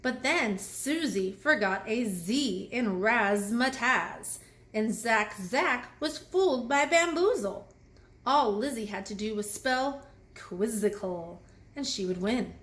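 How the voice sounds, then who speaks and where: Female children's story reader, high voice, highly animated, expressive, addressing young listeners. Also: slow pace. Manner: expressive, asks the listeners questions. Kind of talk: read-aloud storytelling with asides to children.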